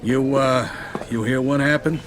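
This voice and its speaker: Man as middle-aged American mob lieutenant, medium pitch, grave, wary, profane, video game drama. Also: fairly even intonation, a little slow, a little hiss or hum, natural voice. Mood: grave, probing.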